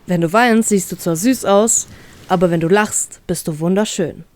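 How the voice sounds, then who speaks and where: A woman voicing a caring, playful young boyfriend in a story, coaxing gently and teasing. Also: fairly low pitch, moderate pace, close to an everyday voice. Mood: tender, consoling.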